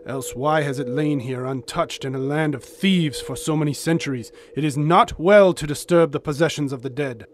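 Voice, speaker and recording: An actor playing a loyal, superstitious desert companion in an audio drama, solemn and ominous, with archaic phrasing. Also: male voice, fairly high pitch, normal speed, hardly any pauses, a little hiss or hum, lightly acted. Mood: foreboding.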